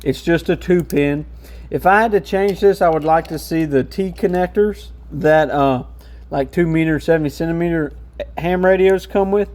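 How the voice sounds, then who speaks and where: Higher-voiced man giving a casual walkthrough. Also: moderate pace, a little mellow. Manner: informal, hands-on explaining. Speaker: radio shop reviewer.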